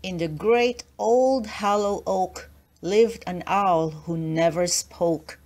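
A woman narrating a children's picture book aloud in an expressive storytelling manner. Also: lowish voice, takes it slowly, soft background music.

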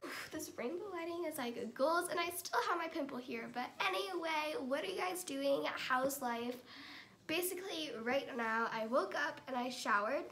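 High-pitched young teenage American girl. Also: normal speed, fairly bright tone. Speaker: vlogger. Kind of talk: casual vlog chat. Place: indoors at home, bedroom and kitchen. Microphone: camera or phone at arm's length. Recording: noisy handheld home recording.

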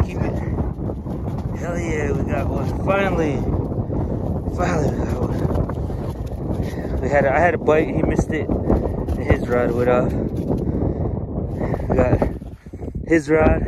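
A man's voice: fairly high pitch, slow, long pauses. A man casually narrating an ice fishing vlog outdoors, filmed on a phone held at arm's length. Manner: laid-back, chatty, excited when fish bite. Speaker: outdoor vlogger. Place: outdoors on a frozen lake, windy. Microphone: handheld phone camera at arm's length. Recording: loud wind and outdoor noise.

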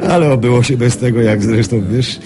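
An actor playing a sly, smug card-sharp recounting his scheme in a radio drama. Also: medium pitch, fairly even intonation, fairly quick, close to an everyday voice. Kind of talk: radio drama acting, storytelling. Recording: music playing behind the voice.

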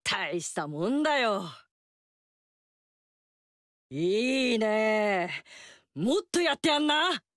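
A woman's voice: fairly high pitch, a bit bright, dry recording, studio quality, heavily acted. An actress playing a fiery, confident warrior queen in a video game, with brash, teasing, tomboyish lines.

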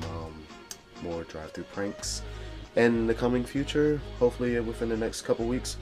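Deep-voiced young man over music. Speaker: video creator. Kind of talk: casual talk to camera. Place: small laundry room.

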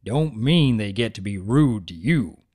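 A man's voice: on the low side, lively intonation, unhurried, a little mellow, breathy edge. A man voicing a kind, laid-back male farm pony with folksy, plain speech in a storybook reading. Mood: encouraging.

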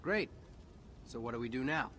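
An actor playing a wisecracking human soldier in an alien warrior body, with a dry, sarcastic manner, in a video game. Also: male voice, mid-range voice, expressive, normal speed, fairly bright tone, a little hiss or hum, natural voice. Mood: wry, puzzled. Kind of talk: video game dialogue acting.

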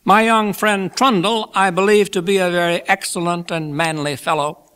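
A man voicing a genial, effusive old gentleman from a classic storybook, making toasts in an emphatic, oratorical manner. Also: high voice, steady tone, normal speed, clear, resonant tone, voice pushed up. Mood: heartfelt, celebratory. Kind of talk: dramatised classic novel reading.

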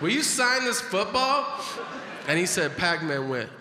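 Slow, animated man telling a stand-up story. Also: high voice, background noise. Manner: unhurried storytelling with voiced impressions. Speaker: stand-up comedian. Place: large theater stage. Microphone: handheld microphone. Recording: echoey hall with loud audience.

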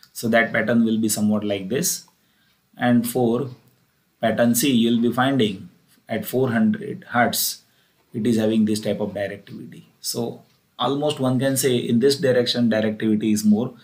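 Indian-accented man lecturing methodically. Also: medium pitch. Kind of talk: explanatory technical lecture over slides. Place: voice-over for a screen recording.

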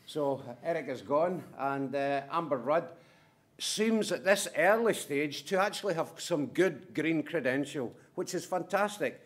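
Brisk man giving a talk. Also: fairly high pitch, expressive. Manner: brisk, punchy short statements with asides. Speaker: conference speaker from a manufacturing firm. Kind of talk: conference presentation.

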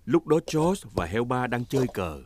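A man narrating a children's cartoon as a lively storyteller for small children. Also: medium pitch, normal speed, noisy background, natural voice. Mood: storytelling.

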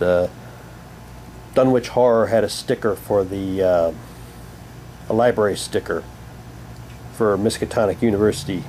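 A man giving a halting, unhurried, casual commentary as he shows and reads out items for an unboxing video. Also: fairly low pitch. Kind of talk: casual unboxing commentary, reading packaging aloud. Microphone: handheld camera's built-in mic. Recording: noisy home camcorder, steady hum.

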